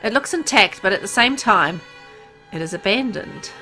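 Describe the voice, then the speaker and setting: A woman's voice: mid-range voice, lively intonation, normal speed, loud music behind the voice, lightly acted. A woman voicing a brooding, suspicious father who narrates his wandering in a visual novel with a hesitant manner. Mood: wondering.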